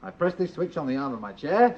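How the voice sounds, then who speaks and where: An actor playing an earnest, proud, eccentric inventor with a Northern English accent and clipped phrasing, in a radio drama. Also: male voice, a little high, very animated, fast. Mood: matter-of-fact. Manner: zealous, clipped, drops articles. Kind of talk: British radio drama acting. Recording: dull, noisy old radio drama recording.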